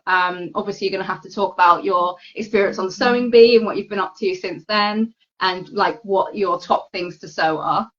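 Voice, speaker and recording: Female host of an online chat show, easy and friendly, filler-heavy, slightly dull call sound, mid pitch. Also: brisk, hardly any pauses, fairly bright tone. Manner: friendly, chatty, many fillers. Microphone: video call. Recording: dull lo-fi video call audio.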